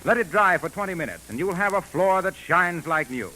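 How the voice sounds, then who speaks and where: A man's voice: high voice, lively intonation, fairly quick, bright, forceful tone, slight background noise, poor audio. An American man announcing and reading advertising in the style of 1940s radio, on a vintage broadcast recording.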